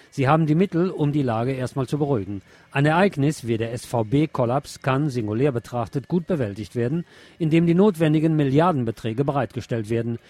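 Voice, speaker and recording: A man's voice: mid-range voice, mellow. A man reads scripted political commentary as a radio presenter. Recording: music playing behind the voice. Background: music.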